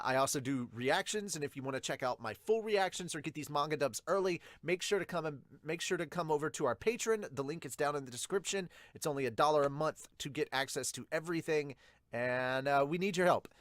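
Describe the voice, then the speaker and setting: A man's voice: a little high, a little fast, a bit bright, no echo. Informal, chatty man hosting, close dry sound. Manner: informal, chatty, reacting aloud. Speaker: podcast host, manga dubber and reviewer. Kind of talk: casual YouTube intro and reaction commentary.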